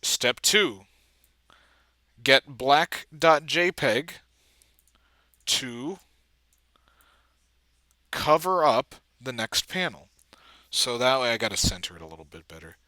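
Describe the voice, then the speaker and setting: Bright-voiced man talking through video editing. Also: medium pitch, animated, no echo. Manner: halting, trailing off mid-thought. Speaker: amateur video editor making a tutorial. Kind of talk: casual screen-recording walkthrough, thinking aloud.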